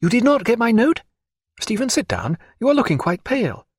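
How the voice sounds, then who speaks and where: Man voicing an excitable high-pitched British lady. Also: brisk, breathy edge, dry recording, clean studio sound, heavily acted. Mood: eager, fussing. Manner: breathless, eager, emphatic. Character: excitable, gushing well-bred British woman, affectionate. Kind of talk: audiobook character voice.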